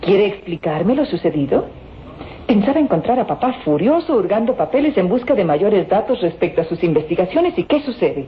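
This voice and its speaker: An actress playing an elegant, cheerful yet concerned young daughter, expressive and polite, in old radio drama style. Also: female voice, medium pitch, a little fast, natural voice. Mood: curious. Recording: dull lo-fi old radio recording, hiss.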